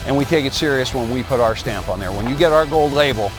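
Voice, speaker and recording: A man's voice: mid-range voice, fast talker, music in the background, poor audio. A man speaking as a spokesman in a sponsor advertisement, proud and plain-spoken. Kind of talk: promotional ad.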